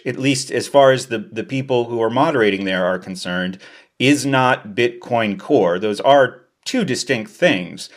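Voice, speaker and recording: A male podcast host who talks in a measured, thoughtful way. He hedges his views and asks questions conversationally. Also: medium pitch. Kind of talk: casual interview talk.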